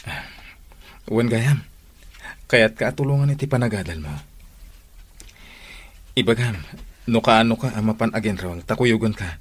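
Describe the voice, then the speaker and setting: An actor with an Ilocano accent playing a devoted, generous young suitor in a radio drama. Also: male voice, on the low side, moderate pace, pauses often, husky. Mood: tender, earnest.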